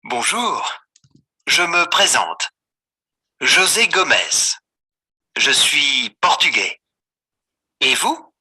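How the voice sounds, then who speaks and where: A man voicing a scripted beginner dialogue for a French textbook, slow and clearly articulated. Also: on the high side, very animated, sharp tone. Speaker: voice on course audio.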